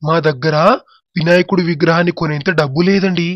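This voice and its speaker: A man's voice: on the high side, fairly even intonation, brisk, no room sound, lightly acted. A man playing a humble, grateful poor village husband and father in a moral story cartoon. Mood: humble, eager. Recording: dull, muffled track with music. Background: background music.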